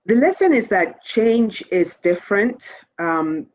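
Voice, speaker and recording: Female author, lower-pitched voice, chatting in an interview; close, dry, slightly lo-fi recording. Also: sing-song, takes it slowly, a little mellow, dry recording. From Nigeria. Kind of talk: conversational interview answers.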